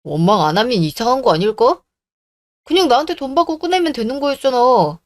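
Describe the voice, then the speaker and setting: A woman's voice: fairly high pitch, big pitch swings, a little fast, no room sound. A woman voicing a whiny, self-pitying young man in a radio drama, pleading and blaming. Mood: resentful.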